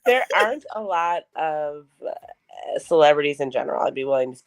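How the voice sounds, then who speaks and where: A woman with a low voice, co-hosting a casual podcast chat, frank and profane and quick to laugh. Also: lively intonation. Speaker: podcast co-host.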